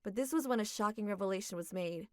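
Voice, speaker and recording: A woman's voice: medium pitch, quick pace, no room sound, clean studio sound. A young adult woman narrating a manga dub in a reflective, emotional way.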